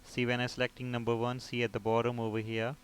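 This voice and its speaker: Male tutorial voice, medium pitch, informal step-by-step explaining, self-posed questions, background noise. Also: completely flat delivery, fast talker. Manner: explanatory, poses and answers own questions. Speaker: repair technician instructor.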